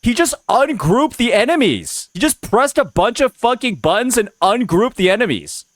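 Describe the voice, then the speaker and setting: Brief: male streamer mocking a rival's gameplay, dry close sound, animated delivery. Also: extremely high pitch, moderate pace, no room sound. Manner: mocking, profane, exasperated. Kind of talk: reacting to gameplay footage.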